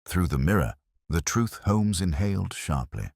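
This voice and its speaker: A man with an extremely low voice narrates a detective story in a measured, literary manner. His pitch moves around in a lively way, and the sound is dry and close. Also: vocal fry, dry recording. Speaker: audiobook narrator.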